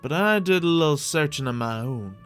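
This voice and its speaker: A man's voice: fairly high pitch, lively intonation, normal speed, fairly bright tone. Theatrical male game streamer over music. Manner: theatrical, whimsical, rambling, talks to the audience. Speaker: livestreamer hosting a Minecraft roleplay. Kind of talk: in-character gameplay commentary, chatting with viewers.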